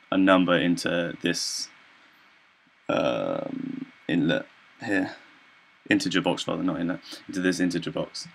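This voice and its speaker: A young man giving an informal student demonstration of software, talking in a hesitant, halting, loosely explanatory way. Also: low voice. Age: young adult. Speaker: student presenter.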